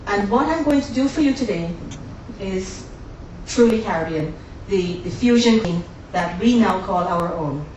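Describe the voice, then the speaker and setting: A woman's voice: mid-range voice, lively intonation, moderate pace, a little mellow, muffled recording, a little hiss or hum. A woman from the Caribbean, hosting a live cooking demonstration and chatting with the audience. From born in Guyana, raised in Barbados. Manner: chatty, jokey, talks to the audience. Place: demo kitchen on a cruise ship. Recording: very echoey room, distant mic, faint ambience.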